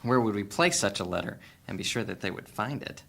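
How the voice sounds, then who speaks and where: An actor playing a wary, sardonic thief on the run, guarded and blunt, in a fantasy audio drama. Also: male voice, on the low side, animated, fairly quick, vocal fry, a bit bright, heavily acted. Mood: skeptical.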